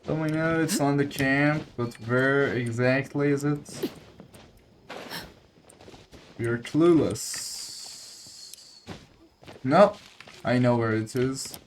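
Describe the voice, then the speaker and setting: Very slow-talking man narrating his gameplay. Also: mid-range voice, a little hiss or hum. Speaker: gaming streamer. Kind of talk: casual gameplay commentary.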